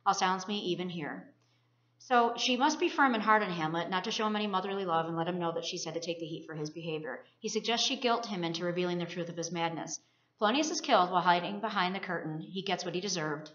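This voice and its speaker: A woman's voice: fairly low pitch, fast talker, fairly bright tone. A woman, a college student presenting, reads aloud a scripted discussion of literature. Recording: roomy, muffled lo-fi recording.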